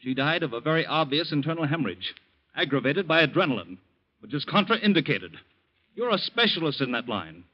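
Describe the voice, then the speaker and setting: An actor playing a bitter, accusing young son of a doctor in a 1940s radio drama, intense and pressing. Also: male voice, a little high, sing-song, fairly quick. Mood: angry accusing. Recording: dull vintage radio recording.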